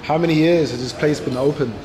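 A man's voice: fairly high pitch, quick pace, crowd noise. A man, vlogging with playful, teasing banter in a busy cafe, filming himself on a handheld camera. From England. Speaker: travel vlogger. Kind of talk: casual joking vlog chat. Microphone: handheld action camera at arm's length. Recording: crowded cafe with long reverb.

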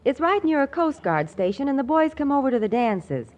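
A woman's voice: high-pitched, very animated, brisk, a little mellow. An actress playing a fretful young woman in a 1940s radio commercial. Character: fretful young woman chatting in a soap advertisement.

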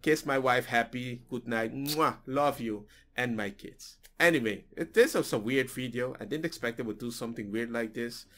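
A man's voice: medium pitch, normal speed, hardly any pauses, sharp tone. A man in his forties whose first language is Papiamento, musing casually in a reaction vlog from a small home room, with restarts and slips. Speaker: reaction video host. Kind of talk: casual reflective monologue. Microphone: headset.

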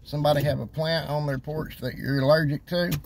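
A man's voice: a little high, level tone, brisk. A man in his thirties, casually talking to camera from inside his car, giving plain, practical tips. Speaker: delivery driver vlogger. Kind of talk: casual vlog talk to camera. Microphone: phone camera on dashboard. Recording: loud car and street noise behind.

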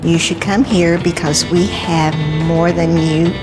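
A woman's voice: on the low side, fairly even intonation, a little slow. A woman in her seventies speaking informally as a local museum guide. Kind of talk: informal interview. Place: indoor depot museum room. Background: music.

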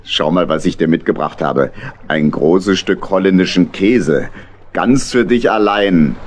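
Deep-voiced apologetic badger uncle. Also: male voice. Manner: halting, earnest, gentle pleading. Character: contrite older male badger, fond uncle. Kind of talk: children's audio drama acting.

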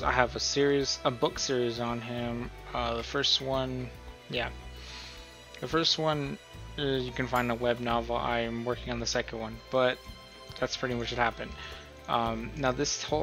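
Bright-voiced man giving a casual tutorial. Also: mid-range voice, gravelly. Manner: informal, rambling explanation. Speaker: host of a role-play tutorial. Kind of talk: casual screen-recorded tutorial.

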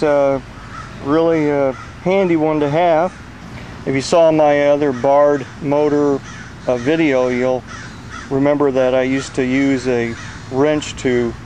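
A middle-aged man talks through a repair job in a slow, halting way, filling his pauses with "uh". His voice sits a little high for a man. A faint hum from the outdoor air conditioning unit stays under his speech. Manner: slow, plain-spoken, with many "uh" pauses. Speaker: HVAC technician. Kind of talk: hands-on repair tutorial.

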